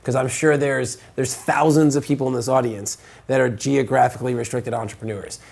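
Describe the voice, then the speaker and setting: Male pitch-show host on a video call, gravelly, salesy hard-closer. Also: a little fast. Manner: energetic salesman, repeats words.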